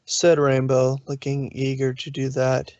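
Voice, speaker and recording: A man's voice: mid-range voice, completely flat delivery, unhurried, a little mellow, no echo. A man narrating fan fiction from a script, on a dull, lo-fi recording.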